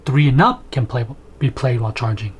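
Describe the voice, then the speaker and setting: A man's voice: mid-range voice, expressive, brisk, slightly rough. A man in his thirties giving casual, conversational unboxing and setup commentary as he thinks aloud in a room at home. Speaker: video host, product reviewer. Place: small home room, indoors.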